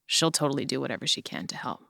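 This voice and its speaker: Guy as chatty slangy drama narrator, bright n crisp, dry close sound. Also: mid-range voice, animated, fast, no room sound, exaggerated voice. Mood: fond, casual. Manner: informal, slangy. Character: casual, blunt narrator, a fond coworker of the characters. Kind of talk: casual scripted narration in audio drama.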